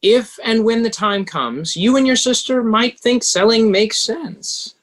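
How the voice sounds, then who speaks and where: A man playing a pragmatic, smooth-talking banker who coolly advises and persuades, in a table read of a stage musical. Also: very high-pitched, animated, a little slow. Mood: persuasive, pressing.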